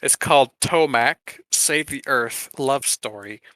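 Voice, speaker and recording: Bright, clear-voiced man on a podcast. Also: mid-range voice, slow pace, no echo, rough recording. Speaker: podcast co-host. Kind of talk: casual chat, presenting a countdown list.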